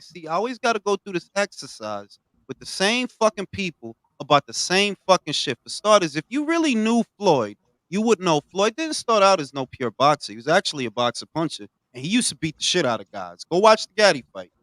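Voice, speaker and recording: Ranting profane man, wildly swinging pitch. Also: clear, resonant tone, dry recording. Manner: ranting, profane, swings from low mutters to loud outbursts. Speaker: podcast host. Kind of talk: heated boxing talk.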